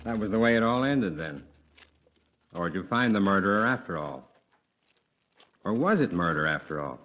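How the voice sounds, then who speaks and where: An eager, prodding museum visitor. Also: male voice, deep voice, expressive, moderate pace, a little hiss or hum. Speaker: actor in radio drama. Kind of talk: 1950s radio drama acting. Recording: dull lo-fi 1950s radio recording.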